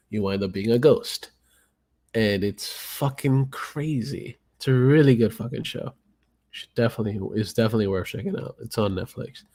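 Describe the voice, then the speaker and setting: Low-voiced man swearing casually on a podcast. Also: a little mellow. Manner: loose, profane, filler-heavy. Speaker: podcast host.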